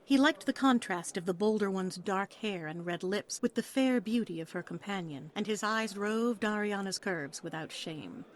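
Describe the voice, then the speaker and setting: A woman's voice: medium pitch, moderate pace, few pauses. A woman reading scripted story narration for an audio play in a dramatic storytelling style.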